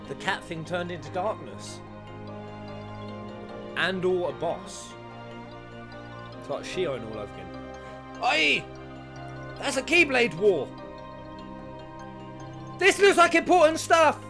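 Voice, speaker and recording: Gamer guy chatting casually over game music, slow paced but really lively swings in his voice. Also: high-pitched. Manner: playful, animated, with crude humour. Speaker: gaming YouTuber. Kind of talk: casual reaction commentary on a video game.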